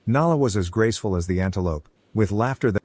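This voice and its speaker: A man's voice: lowish voice, expressive, fast, darkish tone, dry recording. A man narrating a scripted folk tale as a storyteller.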